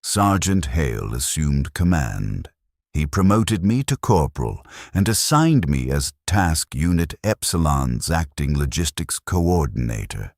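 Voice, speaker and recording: A man's voice: a bass voice, a little mellow, no echo, studio quality, exaggerated voice. A man narrating as a guilt-burdened soldier in a war story, speaking in clipped, formal, detached sentences like a report. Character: guilt-burdened soldier, a logistics corporal, confessing coldly. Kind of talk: scripted first-person war story narration.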